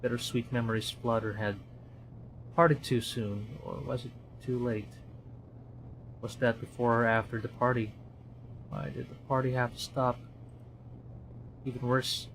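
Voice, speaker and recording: A man's voice: mid-range voice, little pitch movement, slow, creaky, pauses often, a bit bright, a little hiss or hum. A male streamer reads a visual novel aloud in a mid-range voice. His narration is dramatic, and he drops into casual commentary between passages. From Philippines.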